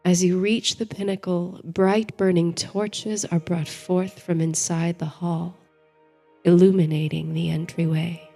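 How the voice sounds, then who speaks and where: A woman narrating a bedtime story and guided meditation in a soothing, measured, dreamy manner, as the host. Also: on the low side, level tone, gravelly. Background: soft music.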